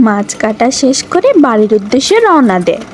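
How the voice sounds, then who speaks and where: একজন নারী কার্টুন ভিডিওর জন্য লিখিত গল্প বর্ণনা করছেন।. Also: female voice, a little high, lively intonation, fairly quick, background noise. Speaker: narrator. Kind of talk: scripted story narration for a cartoon video. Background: music.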